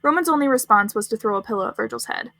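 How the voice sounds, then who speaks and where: A woman's voice: fairly high pitch, lively intonation, racing, vocal fry, pushed and bright, no echo. A woman narrating scripted fan fiction as a storyteller.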